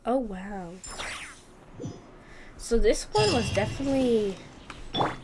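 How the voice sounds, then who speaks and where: A woman's voice: fairly high pitch, lively intonation, slow, noisy background. A woman hosting a gaming video, casually commenting and thinking aloud as she plays. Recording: loud game music behind voice.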